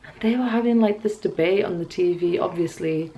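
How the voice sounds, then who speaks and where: A woman's voice: on the low side, a little fast, gravelly, mellow, low-quality recording. A woman, chatting casually and hesitantly to camera in a wry, musing way. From Newcastle area, north-east England. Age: 20s. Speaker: vlogger. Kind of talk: casual, rambling chat to camera. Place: small furnished bedroom at home, indoors.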